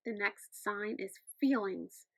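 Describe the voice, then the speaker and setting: A woman's voice: mid-range voice, takes it slowly, gravelly, fairly bright tone. A woman teacher explaining things step by step in an instructional lesson for children. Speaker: teacher of the deaf.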